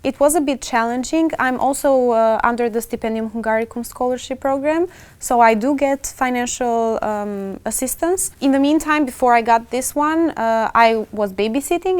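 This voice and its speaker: A woman's voice: a little high, normal speed, a little mellow, clear, resonant tone. A woman in her twenties with an Albanian accent, a student answering interview questions casually in English. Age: 20s.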